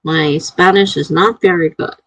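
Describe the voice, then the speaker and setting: Woman, live stream host, slow and unhurried, gravelly husky voice, dry close mic. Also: fairly low pitch, fairly even intonation, no echo, rough recording. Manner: slow, chatty, laughs often, reads comments aloud. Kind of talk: casual live stream chat, reading out viewer comments.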